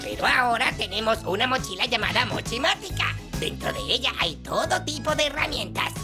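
Woman narrator, high-pitched, very varied intonation, scripted children's explainer, background music. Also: expressive, fairly quick.

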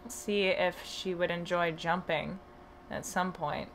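A woman's voice: on the low side, steady tone, slow pace, a crowd in the background. A woman hosting a podcast about her horses, talking casually with plenty of hesitant fillers. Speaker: podcast host and horse owner. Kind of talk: casual conversation.

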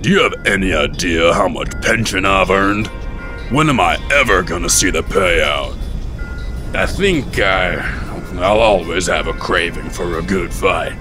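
A deep, gruff veteran soldier, acted by a man for a cartoon comic dub. He is bossy and blunt like a drill sergeant, yet weary of war. Music plays behind him. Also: unhurried. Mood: determined, gruff. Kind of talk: fan-dubbed comic voice acting.

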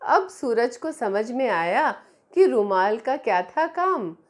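Expressive high-voiced Indian woman storyteller. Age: middle-aged. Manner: expressive, deliberate, addresses children directly. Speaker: children's storyteller. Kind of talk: storytelling for young children. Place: small indoor room. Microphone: clip-on lapel mic.

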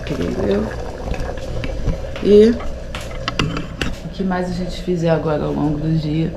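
A Brazilian woman in her thirties, hoarse from a sore throat, chatting to viewers from her phone at arm's length inside a house under renovation. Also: low voice, slow. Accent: Brazilian Portuguese. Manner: chatty, addresses viewers often. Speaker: vlogger. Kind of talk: casual home vlog. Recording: echoey room with clear background noise.

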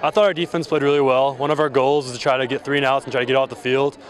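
A man's voice: medium pitch, flat delivery, rapid-fire. A young man, a college football lineman, answering postgame questions outdoors confidently and fluently. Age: young adult.

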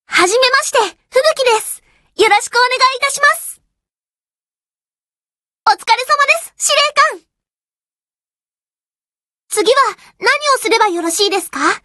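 A woman's voice: extremely high pitch, animated, moderate pace, pauses often, pushed and bright, no room sound, clean studio sound, exaggerated voice. A woman voicing an earnest, dutiful teenage warship girl in an anime game, youthful and polite. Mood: polite, eager. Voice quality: youthful, girlish. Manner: polite, diligent. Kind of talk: anime game character voice lines.

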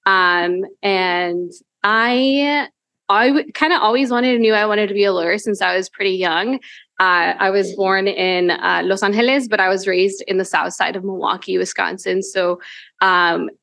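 A woman's voice: mid-range voice, unhurried, vocal fry, fairly bright tone, dry recording. Woman attorney, dry close sound. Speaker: staff attorney. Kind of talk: panel introduction. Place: large law school hearing room.

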